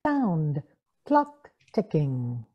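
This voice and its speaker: A woman reads stage sound cues in short, separate phrases. Her voice sits a little low and moves very slowly, with animated rises and falls. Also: muffled recording. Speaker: sound-effects reader. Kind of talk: read-aloud sound cues, clipped phrases.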